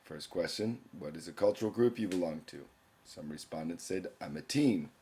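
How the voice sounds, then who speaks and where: Male teacher, young adult, very low voice; plain explanatory screencast narration. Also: moderate pace, dark tone. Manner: plain explanatory, reading quiz questions aloud.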